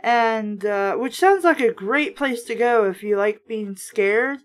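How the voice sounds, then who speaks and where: Woman w a highish voice reading a book out loud, casual, keeps cutting in w sarcastic comments. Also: takes it slowly. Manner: sarcastic asides. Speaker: host of a book review series. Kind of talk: casual read-aloud with running commentary.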